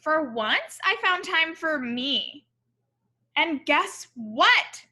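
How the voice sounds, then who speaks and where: A woman's voice: high-pitched, very animated, extremely slow, sharp tone. A woman reading a picture book aloud to children, expressively, with explanatory asides and questions for her young listeners.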